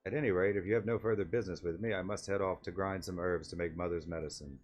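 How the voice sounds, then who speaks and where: A man's voice: deep voice, fairly even intonation, fast talker, faint music behind. A man voicing a meek, self-doubting young wolf-man villager in a video game, with stiffly formal, self-deprecating speech. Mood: resigned, modest.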